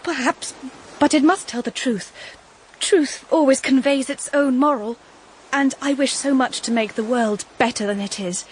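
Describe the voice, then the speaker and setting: An actress playing an earnest, idealistic young Victorian governess in a radio drama, speaking in a measured, heartfelt, literary way. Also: female voice, sits high, breathy edge. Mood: shyly earnest. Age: young adult. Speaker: actress and narrator of a period drama. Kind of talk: period radio drama acting and narration.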